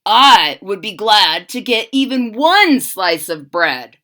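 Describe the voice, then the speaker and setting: A woman's voice: mid-range voice, very animated, slow pace, bright, forceful tone, full character voice. A woman voicing a modest, hungry soldier who speaks earnestly in a storybook read-aloud.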